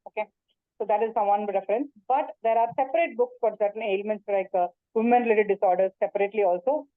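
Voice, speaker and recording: A woman's voice: medium pitch, quick pace, no room sound. A woman teaching yoga in a webinar, speaking earnestly and repeating herself, with many fillers and restarts, over a video call. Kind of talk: webinar talk and Q&A. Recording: tinny phone-like call audio.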